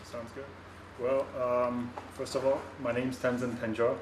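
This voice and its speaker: A man's voice: medium pitch, little pitch movement, measured pace, background noise. A young man from Bhutan, a student, talking casually and hesitantly with many fillers. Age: young adult. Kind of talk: casual chat. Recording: echoey room, far from the mic.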